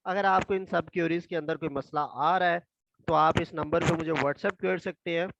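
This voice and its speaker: A hoarse man, a tutor slowly reading exam questions aloud and explaining them step by step. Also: a little high, fairly even intonation, muffled recording, background noise. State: hoarse from a throat infection.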